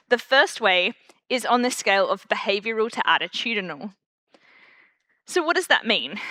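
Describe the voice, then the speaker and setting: An Australian woman speaking at a conference, chatty and loose with plenty of ums. Her voice is bright and sharp, at an ordinary pitch for a woman. The sound is dry and close. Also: dry recording. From Perth, Western Australia. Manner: chatty, self-deprecating, many fillers. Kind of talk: conference talk. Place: conference room.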